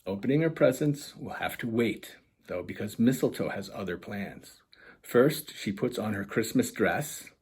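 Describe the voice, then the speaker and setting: A man, an author reading his children's picture book aloud in a husky voice. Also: lowish voice, lively intonation, unhurried, darkish tone. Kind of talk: children's storytime narration.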